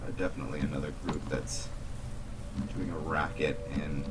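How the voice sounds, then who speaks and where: A man's voice: rumbling low, moderate pace. A man giving casual gameplay commentary, muttering and thinking aloud to himself. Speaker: gaming video host. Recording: distant mic, loud steady background noise.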